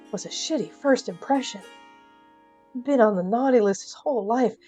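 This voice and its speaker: A woman's voice: a little high, normal speed, warm tone, breathy edge, soft background music. A woman narrating a scripted comic audio story in a wry, theatrical manner. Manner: wry, theatrical, addresses audience.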